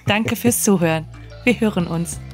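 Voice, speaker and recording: A woman with a medium-pitched voice co-hosts a podcast. She talks in a casual, conversational style. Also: moderate pace, faint music behind. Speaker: podcast co-host.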